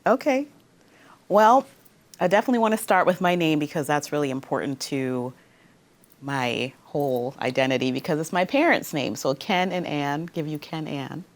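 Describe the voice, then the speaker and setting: A woman's voice: low voice, normal speed. A woman from Guyana, an interview guest who speaks articulately and laughs easily. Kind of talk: casual interview.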